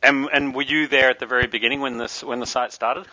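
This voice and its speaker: A man hosting a business interview, asking friendly, quick questions. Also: mid-range voice, fairly even intonation, sharp tone, dry recording, muffled recording. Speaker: interviewer host.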